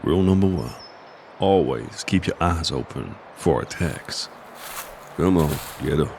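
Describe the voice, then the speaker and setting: An actor playing a gruff older mountain man in an audio drama, a blunt, folksy combat mentor who teases dryly. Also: expressive, unhurried, background noise, exaggerated voice. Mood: firm, coaching. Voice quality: deep, gravelly.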